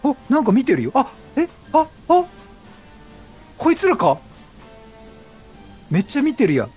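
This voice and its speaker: A man's voice: very high voice, wildly swooping pitch, a little slow, darkish tone, breathy edge, soft background music. A talkative man doing chatty let's-play commentary, exclaiming and talking to the game's characters. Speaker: gaming host. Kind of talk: chatty let's-play commentary, reading game dialogue aloud.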